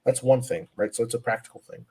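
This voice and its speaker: Husky young man, earnest and reflective. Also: medium pitch, level tone, fast, mellow. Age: 20s. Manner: earnest, reflective, many fillers and restarts. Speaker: guest powerlifter. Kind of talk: casual podcast interview.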